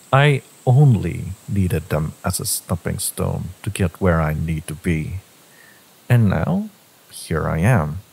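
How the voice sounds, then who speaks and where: A man voicing a brooding, possessive villain devoted to a secret lover, in an intimate, intense dramatic audio roleplay, with slow, deliberate delivery and a husky, gravelly voice. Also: sits low, a little mellow, exaggerated voice, poor audio.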